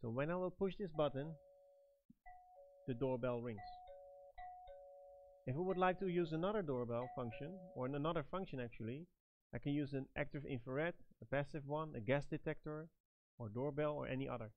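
A middle-aged man giving step-by-step product instructions in a halting, phrase-by-phrase manner. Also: mid-range voice, narrow, tinny audio, faint music behind. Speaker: product trainer and presenter.

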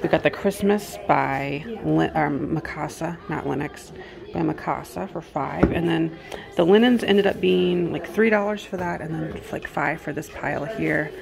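A woman's voice: deep voice, moderate pace, vocal fry, crowd noise. A woman vlogger giving casual commentary as she shops, half murmuring to herself while browsing. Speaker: vlogger, antiques reseller. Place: house and garage at estate sales. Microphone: handheld phone or camera.

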